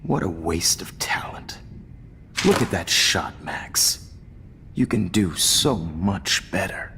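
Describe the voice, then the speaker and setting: A man's voice: deep voice, very animated, slow pace, vocal fry, in a whisper, big-room sound, heavily acted. An actor playing a smug, condescending and menacing male teacher in a video game, with a theatrical, mocking manner. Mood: calm, menacing.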